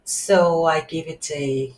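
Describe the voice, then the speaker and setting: A woman with a low voice talks slowly through a coding tutorial. She thinks aloud in halting pieces as she works. The room adds noticeable echo to her words. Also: steady tone. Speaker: tutorial instructor. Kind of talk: unscripted software tutorial narrated while coding. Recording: roomy echo, faint background noise.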